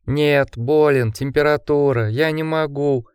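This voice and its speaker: A man's voice: on the high side, fairly even intonation, measured pace, clear, resonant tone, no room sound, studio quality, voice pushed up, natural voice. A man voicing a feverish, frightened young man who pleads and whimpers. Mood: feverish protest.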